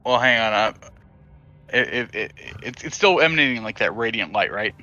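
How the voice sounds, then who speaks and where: Guy stammering through his turn, brightish voice, muffled lo-fi mic. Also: on the low side, expressive, moderate pace. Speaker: player. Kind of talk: playing a tabletop game.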